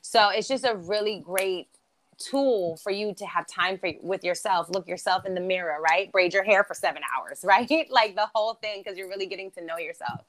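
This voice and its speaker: A woman's voice: medium pitch, normal speed, a bit bright. A woman born in Germany with German as her first language, speaking English with an American East Coast accent in an upbeat, chatty, motivational way as an interview guest. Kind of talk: casual interview chat.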